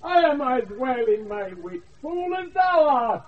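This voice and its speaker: Wronged pompous steward, British, very high voice, slow pleading, radio Shakespeare, tinny distant off-air recording. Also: male voice, very animated, heavily acted. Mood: aggrieved pleading. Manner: pleading, indignant, declamatory.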